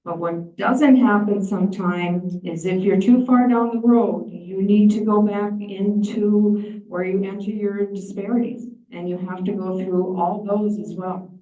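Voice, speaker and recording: Slow, mellow-voiced woman presenting. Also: mid-range voice, fairly even intonation. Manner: plain-spoken, explanatory. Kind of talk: meeting presentation. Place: large meeting room. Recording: echoey room, distant mic, dull sound.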